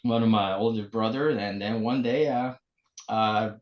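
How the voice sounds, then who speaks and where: Guest: forties man, lower voice, halting delivery, muffled lo-fi line. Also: steady tone. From El Salvador. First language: Spanish. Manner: halting, many fillers and restarts. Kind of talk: casual interview. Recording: muffled lo-fi remote audio.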